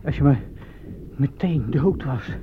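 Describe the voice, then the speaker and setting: A man's voice: mid-range voice, unhurried, close to an everyday voice. An actor in a radio play playing a frightened, naive young recruit, hesitant and stammering in his speech. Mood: fearful. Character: frightened, naive eighteen-year-old army recruit. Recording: muffled old radio play with faint noise.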